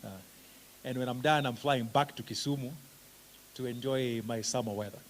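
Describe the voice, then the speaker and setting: A man's voice: medium pitch, moderate pace, slight background noise. Joking Kenyan man at a conference. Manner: relaxed and joking, with frequent "uh" fillers. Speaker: conference presenter, professor of special education. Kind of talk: conference talk, self-introduction. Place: conference hall.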